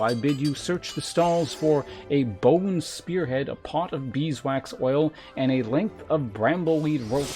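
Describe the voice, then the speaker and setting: A man's voice: fairly high pitch, a little mellow, lightly acted. A man voicing a pompous, self-important market master from a fantasy game, speaking in a haughty, wordy, formal way. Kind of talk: voiced reading of game dialogue.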